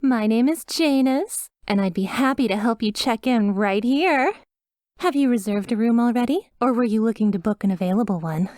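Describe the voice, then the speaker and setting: A woman's voice: a little high, lively intonation, moderate pace, a little mellow, no echo, clean studio sound, close to an everyday voice. A woman voicing a chipper, sweetly reassuring demon resort receptionist with a polished, over-polite customer service voice in a scripted ASMR roleplay. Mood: bright, welcoming.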